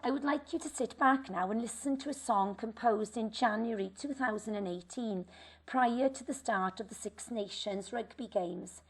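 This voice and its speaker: A woman reading a formal school assembly address in a clear, measured way. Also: on the high side. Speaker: teacher presenting a school assembly.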